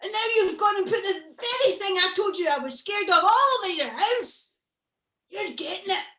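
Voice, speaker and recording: A woman voicing a petulant male monster who shouts and whines in a high, squealing voice. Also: animated, slow pace, echoey room, exaggerated voice. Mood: furious shouting.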